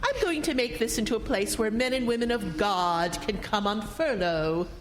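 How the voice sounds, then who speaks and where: Radio play role: haughty, devout rich spinster played by an actress, high-pitched, sweeping intonation, formal and fearless. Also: female voice, animated, moderate pace, a little hiss or hum, natural voice. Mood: prim, assured. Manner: formal, imperious, sanctimonious. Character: prim, wealthy, pious spinster, haughty and stubbornly fearless. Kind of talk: scripted radio drama acting.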